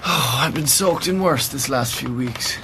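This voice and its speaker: A man's voice: medium pitch, unhurried, background noise, natural voice. An actor playing a teasing, proud young Irish man worn down by illness, in a naturalistic radio drama. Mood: teasing, playful. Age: young adult.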